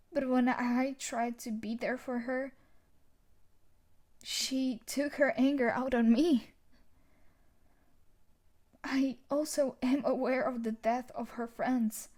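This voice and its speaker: Slow, menacing young bully woman, acted out. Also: a little high, darkish tone. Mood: feigned innocence. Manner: menacing, deliberate, theatrical. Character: sadistic, possessive female university bully, tough and manipulative. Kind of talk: dramatic audio roleplay acting.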